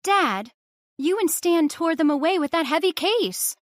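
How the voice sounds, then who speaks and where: A woman's voice: very high-pitched, lively intonation, unhurried, dry recording, studio quality. An actress playing a young engaged woman who argues earnestly with her stubborn father, in old-time radio drama style. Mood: uneasy. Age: young adult. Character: young woman, engaged, frightened but spirited daughter.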